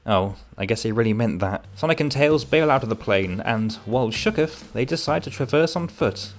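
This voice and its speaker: A man's voice: medium pitch, animated, normal speed. A man delivering a scripted review narration as a reviewer, with sardonic, wry asides. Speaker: comic book reviewer.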